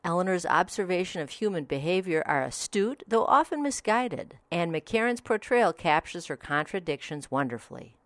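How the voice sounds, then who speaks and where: A woman's voice: fairly low pitch, lively intonation, normal speed, few pauses, no room sound. A woman presenting a scripted audio review as a podcast host.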